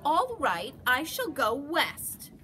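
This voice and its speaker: A woman putting on a blustery capybara bully, loud, high and bossy, flinging every line out with force. Her pitch swings wildly, and a steady hiss sits behind the voice. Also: takes it slowly, full character voice. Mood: huffy. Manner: shouting, blustery, indignant.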